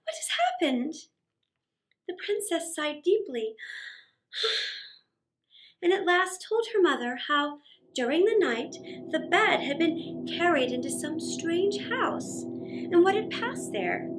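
A middle-aged woman reading a story aloud for children, expressive, with theatrical pauses and emphasis. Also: very high-pitched, poor audio. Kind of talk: dramatic read-aloud story narration for children. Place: small indoor set.

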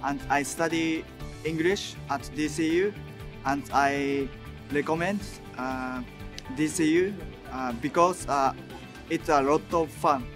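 Japanese student guy, slow and halting, higher voice, music behind. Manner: halting, many fillers. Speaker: language student.

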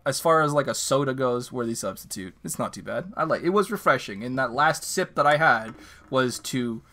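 A Canadian man co-hosting a podcast, chatting casually, ranting with animated, self-mocking energy. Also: mid-range voice, normal speed. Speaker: podcast co-host. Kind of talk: casual chat. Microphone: video call.

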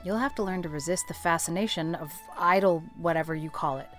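Storybook guardian: practical older spinster, woman acting, low-pitched, clipped, stern and brusque. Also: brisk, faint music behind, lightly acted. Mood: scolding. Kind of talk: audiobook character acting.

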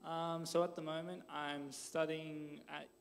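Gravelly-voiced young Australian man. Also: on the high side, a little slow. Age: 20s. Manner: relaxed, loose, with fillers like "kinda" and "yeah". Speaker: interviewee, church member. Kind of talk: casual answers on stage. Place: church stage, large hall. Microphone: handheld mic.

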